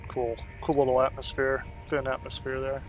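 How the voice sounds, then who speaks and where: A man getting over a cold gives relaxed, rambling commentary while playing a space game, with frequent ums and short laughs. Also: mid-range voice, moderate pace, soft background music. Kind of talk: casual live-stream commentary.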